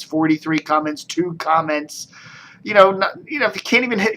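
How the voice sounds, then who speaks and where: American male vlogger, slightly high voice, loose off-the-cuff media commentary, wry edge. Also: bright, forceful tone. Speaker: video blogger and media commentator. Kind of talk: casual rambling commentary.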